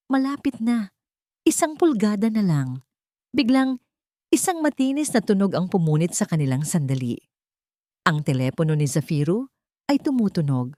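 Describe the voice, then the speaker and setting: A woman narrates a scripted romance story. Her voice sits fairly low, and she phrases dramatically and deliberately, pausing between short phrases. The sound is dry, with little room to it. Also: a little mellow, dry recording.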